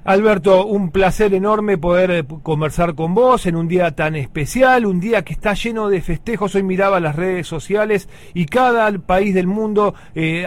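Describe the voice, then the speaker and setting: An Argentine man hosting a radio interview, chatty and lively, with plenty of fillers. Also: sits high. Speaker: radio host.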